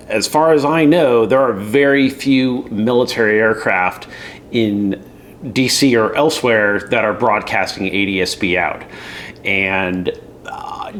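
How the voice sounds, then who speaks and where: A man commenting casually and with clear opinions, speaking in a conversational, emphatic way. His pitch sits in the middle for a male voice. There is faint noise behind him. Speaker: commentator reacting to a news segment.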